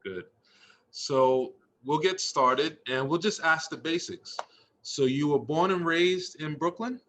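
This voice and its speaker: A man's voice: on the high side, takes it slowly, fairly bright tone, a little hiss or hum. A man hosting an interview series, speaking in an encouraging, empathetic way to his guest and listeners. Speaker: interviewer and host of a school staff story series.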